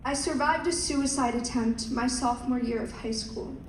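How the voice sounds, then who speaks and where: Young woman, high voice, slow measured delivery, pageant speech, echoey hall heard from afar, faint background hum. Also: recorded from a distance. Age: young adult. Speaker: pageant contestant. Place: large hall.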